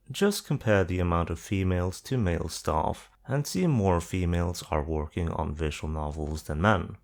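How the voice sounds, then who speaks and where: A man narrating a screen-recorded website tutorial, dropping dry sarcastic asides between the steps. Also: lowish voice. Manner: lively sing-song intonation. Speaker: video guide host.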